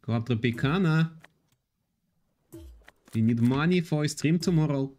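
A man in his thirties hosting a live slots stream from an indoor room, talking loosely to his chat in halting, repetitive English. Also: fairly high pitch, a little mellow. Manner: reads and answers chat, loose non-native English with repetitions.

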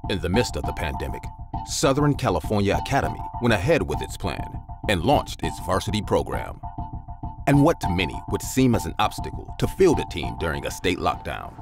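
Deep-voiced documentary narrator. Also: male voice, big pitch swings, brisk. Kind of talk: scripted narration. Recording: music bed under voice-over.

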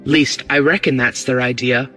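A man's voice: mid-range voice, steady tone, normal speed, fairly bright tone, faint music behind, lightly acted. A man voicing a glib, eager porter in an audiobook, with a working-class London accent and a confiding, deferential manner. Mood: gossipy, excited.

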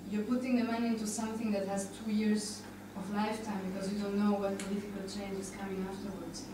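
A young woman from Argentina, speaking reflectively and tentatively in an informal discussion. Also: mid-range voice. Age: young adult. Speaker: panel participant. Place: indoor conference room. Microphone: handheld microphone. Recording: echoey room, distant, noisy.

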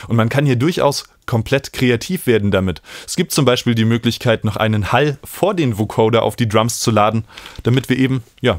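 A man, calmly explaining music software step by step as a tutorial host. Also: medium pitch, dry recording, studio quality. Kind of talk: screen-recorded software tutorial.

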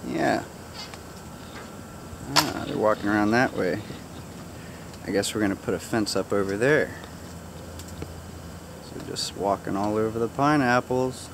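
A man casually showing viewers around his backyard garden outdoors, chatty and relaxed, joking with his animals. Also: lowish voice, unhurried, gravelly, long pauses, background noise. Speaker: gardener giving a backyard tour. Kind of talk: casual walk-through narration.

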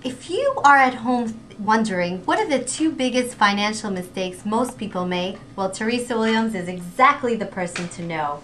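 A woman's voice: fairly high pitch, expressive, fairly bright tone. A woman in her thirties hosting a promotional community TV interview, speaking enthusiastically into a handheld mic in an office. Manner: enthusiastic, spells out web address slowly. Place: office room with red wall.

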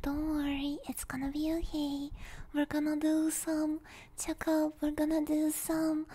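A soft-spoken, playful woman chatting as an ASMR streamer, close on a stereo microphone and sometimes slipping into whispers. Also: sits high, monotone, a little slow. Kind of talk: ASMR livestream chat, drifting into whispers. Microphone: close binaural stereo microphone.

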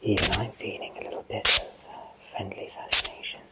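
A man in his thirties voicing a kindly fantasy potion shopkeeper in a soothing, breathy ASMR whisper. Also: heavy background noise, natural voice. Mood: soothing, hypnotic. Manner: slow, soothing, reassuring. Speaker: ASMR roleplayer.

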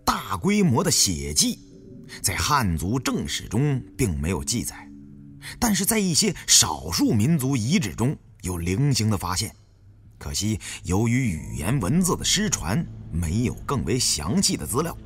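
Bookish male adviser, put on by a narrator, long-winded, pedantic, very animated pitch, audiobook storytelling. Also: unhurried, faint music behind. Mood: explanatory. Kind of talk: audiobook character acting.

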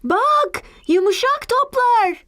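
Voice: extremely high pitch, expressive, slow pace, bright, forceful tone, no echo, heavily acted. A performer in a children's cartoon dub voicing a cheerful, curious little boy with simple, eager phrasing. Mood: excited.

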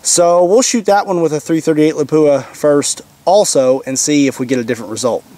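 A man's voice: a little high, a little fast, warm tone. A young man with a Kentucky accent, talking casually and plainly as a host while outdoors in an open field. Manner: plainspoken, easygoing, thinks aloud. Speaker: shooting channel host. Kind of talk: casual outdoor demo narration. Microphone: camera mic, sometimes at a distance.

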